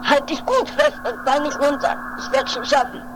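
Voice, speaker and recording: An amateur radio-play performer voicing an anxious, self-reproaching mountaineer who mutters haltingly and repeats words. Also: high-pitched, big pitch swings. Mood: strained, urgent. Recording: noisy homemade 1980s radio play recording.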